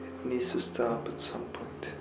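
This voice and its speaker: A man giving a heartfelt, confessional voicemail monologue, earnest and reflective, halting as he repeats words and pauses mid-sentence. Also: medium pitch, completely flat delivery, vocal fry. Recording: roomy echo, music underneath. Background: music.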